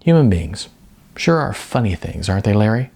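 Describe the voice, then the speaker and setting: A man's voice: on the low side, sing-song, normal speed, a little mellow, breathy edge, heavily acted. A man voicing an earnest, frustrated woman, an aspiring actress, in an audiobook. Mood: reflective. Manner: earnest, emphatic. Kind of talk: audiobook character dialogue.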